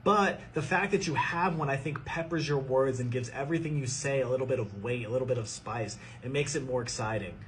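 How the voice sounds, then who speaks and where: A man's voice: medium pitch, brisk, few pauses. A man from the United States giving a scripted, upbeat monologue to camera as a vlogger, listing his points. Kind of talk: scripted social media monologue. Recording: clip played with music under it.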